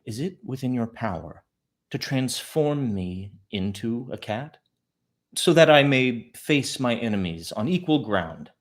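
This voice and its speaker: A man's voice: mid-range voice, lively intonation, unhurried, natural voice. A man voicing a small, humble talking animal in a storybook tale, speaking formally and respectfully with earnest pleading. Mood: worried, pleading. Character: small mouse turned cat, humble, grateful, pleading. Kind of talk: storybook folk tale character.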